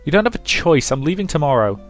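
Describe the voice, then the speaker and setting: A man's voice: on the high side, big pitch swings, fast, soft background music, pitched above the performer's own voice. A man voicing an anguished, guilt-ridden young woman with a hot temper in a visual novel, stammering and emotional. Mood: anguished, firm. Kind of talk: visual novel character reading.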